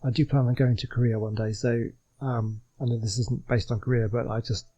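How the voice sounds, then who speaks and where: A man's voice: medium pitch, fairly even intonation, a little fast, mellow, muffled recording. A man giving casual game commentary as a let's play host, musing and chatty in a hesitant way.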